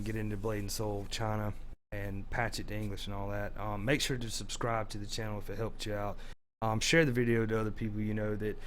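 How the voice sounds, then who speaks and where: Gaming host, male, slightly low and a touch hoarse, loose chatty delivery with lots of fillers. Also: slight background noise. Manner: rambling, chatty, many fillers and restarts. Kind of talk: casual game tutorial commentary.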